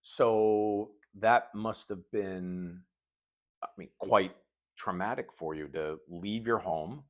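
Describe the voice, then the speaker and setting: A man from hosting a political interview, speaking in a measured way with jokey asides. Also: sits low. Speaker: interview host.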